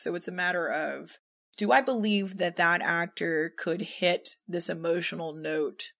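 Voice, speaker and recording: Woman chatting on a podcast about directing, lowish voice, lots of vocal fry. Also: fairly bright tone. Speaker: podcast guest, audio drama director. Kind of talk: casual conversational discussion.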